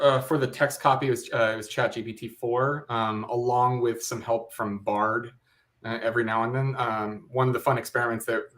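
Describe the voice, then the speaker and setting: A man speaks as an interview guest in a casual, explanatory way. His voice sits at an average pitch, and his delivery is hesitant and halting. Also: a bit bright.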